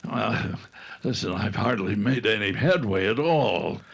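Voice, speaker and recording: A man performs a ruthless gang boss in an old-time radio adventure. His voice is gravelly and husky and swings widely in pitch. He takes his time, halting and menacing. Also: expressive, fairly bright tone, exaggerated voice. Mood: exasperated. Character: Red Markheim, ruthless gang boss posing as a grandpa.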